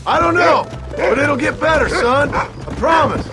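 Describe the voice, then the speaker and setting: An actor playing a gruff, patient Old West father in a video game, with a gravelly rural American Western accent and a steady, terse, folksy manner. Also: very high-pitched, measured pace. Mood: earnest, placating. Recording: music behind the dialogue.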